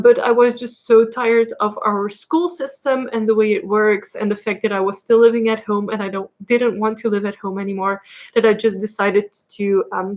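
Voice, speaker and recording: A young woman from Belgium with a Flemish Dutch accent, chatting casually while answering viewer questions on her livestream, with many ums and likes. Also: a little high. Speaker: livestream host. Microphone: laptop mic.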